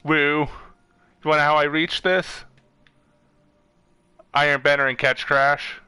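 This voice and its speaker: A man's voice: on the high side, little pitch movement, slow pace, pushed and bright, smooth, no echo. A man streaming a video game and chatting casually with a friend in a rambling, sweary way. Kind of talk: casual gaming chat with a friend over voice chat.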